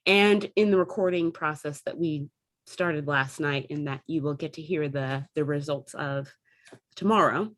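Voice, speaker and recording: Midwestern American woman, lower-pitched, chatty explainer. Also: a little slow. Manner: chatty, demonstrates vowel sounds as she explains. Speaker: theatre company member recounting dialect training. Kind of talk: casual conversational explanation.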